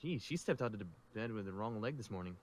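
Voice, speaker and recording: A man's voice: fairly low pitch, animated, fast talker, a loud crowd, close to an everyday voice. A man playing an easygoing young survivor who leads supply runs in a zombie game roleplay, talking in a casual, joking way. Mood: teasing. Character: easygoing, teasing young male supply-run leader. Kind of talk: improvised Minecraft zombie roleplay. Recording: clear background noise.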